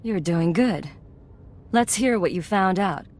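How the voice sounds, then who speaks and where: A woman's voice: mid-range voice, animated, slow pace, darkish tone. An actress playing a cool female secret agent in charge of a gang in a video game, terse and authoritative. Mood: businesslike.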